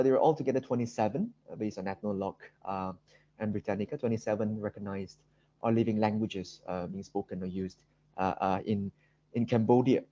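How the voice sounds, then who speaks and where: A man with a Malaysian accent giving an academic seminar presentation, speaking haltingly with very frequent ums and repeated words. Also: on the low side, mellow. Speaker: guest lecturer. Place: online video call seminar. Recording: dull, roomy video-call audio.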